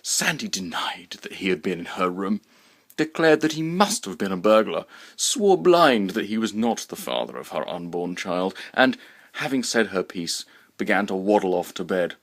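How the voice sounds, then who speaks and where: A man's voice: sits low, sing-song, heavily acted. A man playing a pompous, fussy, paranoid inventor who formally and dramatically recounts his mishap in a comic short story. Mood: grandiose, indignant. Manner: formal, verbose, deliberately enunciated, dramatic.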